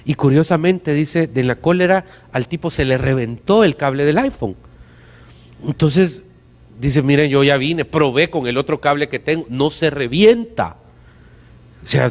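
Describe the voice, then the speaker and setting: Male Salvadoran preacher, higher-than-average voice, colloquial and emphatic sermon delivery, big-room reverb. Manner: colloquial, emphatic, with rhetorical questions. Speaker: pastor preaching to a congregation. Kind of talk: conversational sermon with anecdotes. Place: church hall during a service. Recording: reverberant hall sound.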